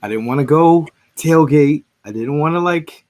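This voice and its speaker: A man's voice: on the high side, moderate pace, darkish tone. A man hosting a casual sports podcast, rambling with restarts, his voice just recovering after he lost it. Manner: rambling, frequent restarts and fillers. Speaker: podcast host.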